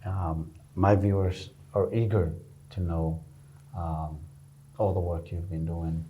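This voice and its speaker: A man hosting a community interview show, welcoming his guest and asking halting, encouraging questions. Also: low voice, level tone, narrow, tinny audio, slight background noise. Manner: affirming, frequent backchannels, halting questions. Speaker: host. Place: studio.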